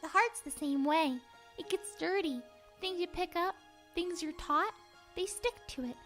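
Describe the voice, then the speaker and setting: A woman's voice: extremely high pitch, a little slow, fairly bright tone, faint music behind. A woman voicing a childlike, affectionate and wistful book spirit in a comic dub, chatting in a tender, cutesy and playful way. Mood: gentle, earnest.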